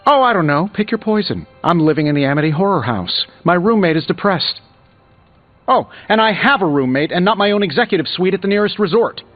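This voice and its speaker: Cocky wisecracking genius superhero, game dialogue, slightly high voice, muffled with music behind. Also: male voice, expressive, brisk. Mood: wry exasperation. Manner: sarcastic, glib, wry. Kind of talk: video game dialogue, quippy banter.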